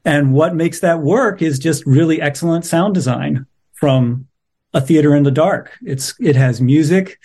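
A man's voice: on the high side, unhurried, a little mellow, slightly rough. A middle-aged man speaking as an interviewed playwright, casual, reflective and a little wry.

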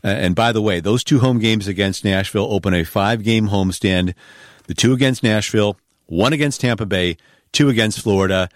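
Low-voiced man hosting a sports podcast. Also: no room sound, clean studio sound. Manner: measured, announcer-like wrap-ups. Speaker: podcast host.

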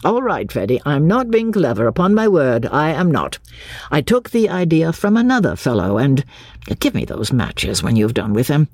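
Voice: mid-range voice, sing-song, normal speed, a little mellow, no echo, studio quality. A reader voicing a chatty, opinionated English clergyman who rambles theatrically in an audiobook. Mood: jovial, rambling.

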